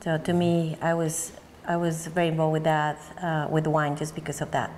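A woman's voice: deep voice, completely flat delivery, normal speed, darkish tone. A woman from Mexico with a Mexican accent, in her forties, talking thoughtfully and searching for words in an oral history interview. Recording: long hall reverb, faint background noise.

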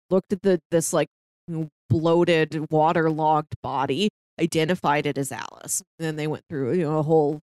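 Low-voiced woman explaining, close dry sound. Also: clear, resonant tone, no room sound. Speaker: podcast co-host. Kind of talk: casual film discussion.

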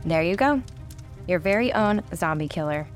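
An actress playing a cocky, wisecracking young woman engineer in an audio drama, sassy and brisk in explaining. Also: fairly low pitch, lively intonation, faint music behind, close to an everyday voice. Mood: pleased.

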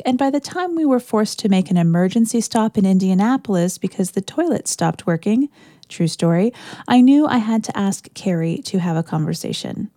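A woman's voice: mid-range voice, dark tone, no room sound, clean studio sound. A woman, a minister hosting a podcast, giving a scripted introduction with casual asides. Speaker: podcast host and church minister.

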